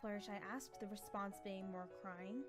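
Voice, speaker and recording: Female narrator, mid-pitched, scripted storytelling with soft background music. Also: steady tone, moderate pace.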